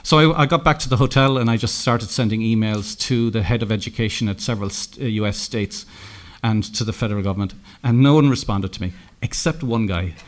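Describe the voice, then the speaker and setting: A middle-aged Irish man giving a conference keynote, telling anecdotes with lots of halting restarts and self-corrections. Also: fairly low pitch. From Ireland. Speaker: keynote speaker. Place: conference room, indoors.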